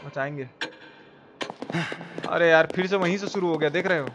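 A man's voice: a little high, normal speed. A man doing casual, slangy live game commentary, grumbling at the game and chatting with viewers. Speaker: game streamer. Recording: noisy, game sound underneath. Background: video game sound effects.

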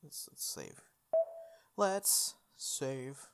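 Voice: medium pitch, wildly swooping pitch, drawn-out words, vocal fry, very noisy. A playthrough host reading visual novel text aloud in a playful way, with casual asides. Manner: playful, interjects informal comments on the script. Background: game music.

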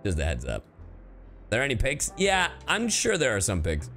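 A man's voice: lowish voice, huge pitch swings, moderate pace, a bit bright. A man giving casual livestream commentary on a game, playful, irreverent and sweary, with music behind him. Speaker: game streamer.

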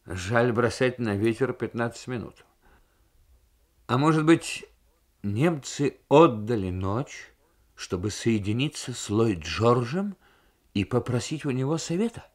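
Man reading as a witty, animated male diplomat. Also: mid-range voice, natural voice. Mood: provocative. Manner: argumentative, ironic, deliberate. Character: witty, probing male Soviet diplomat in debate.

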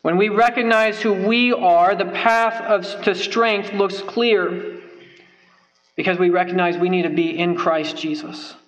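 A man's voice: high voice, fairly even intonation, measured pace, fairly bright tone, rough recording. A young man preaching from a church pulpit, earnest and emphatic, stressing points by repeating phrases. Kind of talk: sermon. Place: church sanctuary, at a wooden pulpit. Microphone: pulpit microphone.

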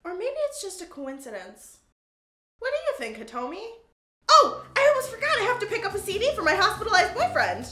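Woman voicing a very high, hyper teenage anime girl. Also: wildly swooping pitch, a little fast, bright, forceful tone, echoey room, faint music behind, full character voice. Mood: sardonic. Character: hyperactive, blunt teenage schoolgirl in an anime parody. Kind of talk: abridged anime parody voice acting.

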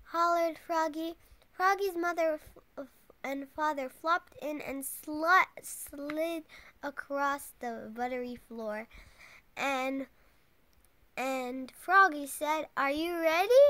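A woman's voice: sits high, slow, bright, forceful tone. A young girl reading a picture book aloud expressively, stumbling over words now and then. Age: child. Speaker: storytime host reading aloud to teddy bears.

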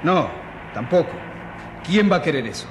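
A man's voice: on the high side, very animated, normal speed, in a large hall, noisy background. An actor playing an earnest, indignant man of twenty protesting in a radio drama. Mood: pleading. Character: earnest, indignant twenty-year-old man defending the poor.

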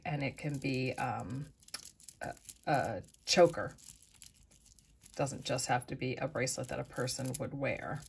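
A middle-aged woman chatting easily through her thrift and flea market finds, with soft asides. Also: deep voice, gravelly, breathy edge. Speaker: vlogger showing thrift finds. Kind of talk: casual show-and-tell narration. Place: indoors at a table, close-up of hands.

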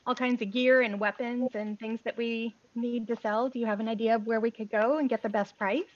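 A woman's voice: on the high side, steady tone, brisk, dry recording, muffled recording. A woman playing a tabletop game, chatting casually with the group over a video call.

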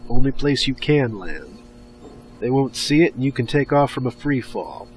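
An actor in an audio drama playing a hard, authoritative government official who briefs in clipped, short sentences. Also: male voice, medium pitch, lively intonation, normal speed, soft background music, close to an everyday voice, rough recording. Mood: brisk, businesslike.

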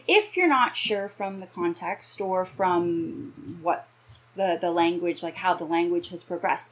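A woman in her thirties or forties preaching an explanatory sermon in a measured way, pausing between phrases. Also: medium pitch, low-quality recording. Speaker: minister giving a reflection. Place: small indoor room with bookshelves.

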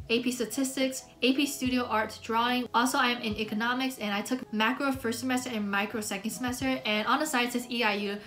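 An eighteen-year-old woman talks casually to the camera in a small bedroom, her voice sitting a bit high. She is upbeat and chatty and fills her sentences with "like". Her is Mandarin, and faint music plays underneath. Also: bright, forceful tone. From United States. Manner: chatty, upbeat, many "like" fillers. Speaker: student vlogger.

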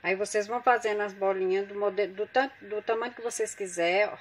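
A woman's voice: mid-range voice, fairly even intonation, quick pace. Woman, Brazilian Portuguese accent, chatty cooking host, home kitchen, phone camera, faint noise behind. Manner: chatty, addresses viewers as gente.

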